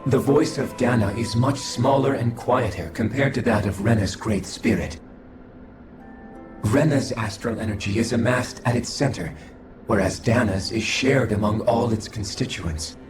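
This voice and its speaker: A man's voice: medium pitch, lightly acted. Man voicing a detached alien scholar, echoing. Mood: clinical, detached. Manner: formal, measured, clinical. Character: detached alien scholar, coldly logical, formal. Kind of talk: video game cutscene acting. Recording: echoing voice over game music.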